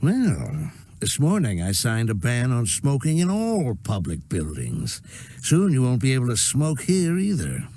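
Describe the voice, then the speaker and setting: An actor playing an arrogant, corrupt city mayor in a video game, condescending and quietly menacing. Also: male voice, mid-range voice, huge pitch swings, measured pace, heavily acted. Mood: smug. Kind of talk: scripted video game drama.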